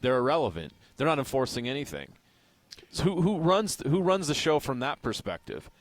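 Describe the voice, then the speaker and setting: A man's voice: mid-range voice, big pitch swings, moderate pace, no room sound. An American man co-hosting a casual sports radio show, giving his opinions bluntly.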